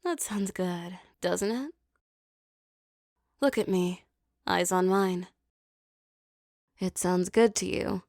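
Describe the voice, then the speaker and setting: Slow, gravelly-voiced commanding woman. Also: fairly low pitch, dry recording, clean studio sound. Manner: slow, soft, commanding and teasing. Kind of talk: scripted hypnosis roleplay audio.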